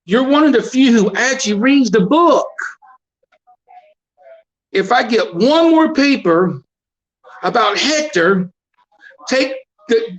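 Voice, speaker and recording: Exasperated, sardonic high school teacher, acted by a man. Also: a bit bright, poor audio. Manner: scolding, sardonic. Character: exasperated, fatherly male high school teacher in a movie monologue. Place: hotel room, over a video call.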